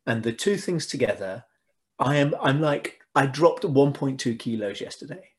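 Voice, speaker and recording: A man's voice: medium pitch, lively intonation, moderate pace. A man, a podcast guest explaining his ideas earnestly with many fillers and restarts. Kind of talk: casual interview chat.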